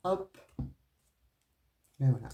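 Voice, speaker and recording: A woman's voice: extremely slow, warm tone. A woman with a regional French accent, not a Parisian one, giving a casual tarot and oracle card reading. She talks straight to her viewers and fills her speech with hesitations and little tag words. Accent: non-Parisian French regional accent. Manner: chatty, full of "euh" and "hein" fillers, addresses viewers directly.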